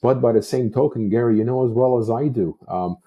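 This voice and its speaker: A man's voice: mid-range voice, fairly quick, breathy edge, muffled recording. A Canadian man giving unscripted political commentary as a talk show host, discursive and repeating himself for emphasis.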